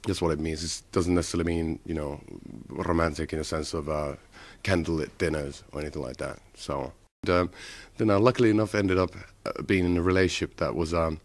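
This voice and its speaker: A man with a low voice reflects in an interview. He speaks haltingly, musing through long pauses, and the sound is dry and close. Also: fairly even intonation, no echo.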